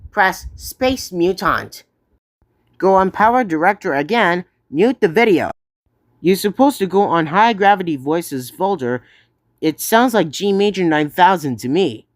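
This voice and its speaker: A woman narrating a step-by-step software tutorial. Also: on the low side.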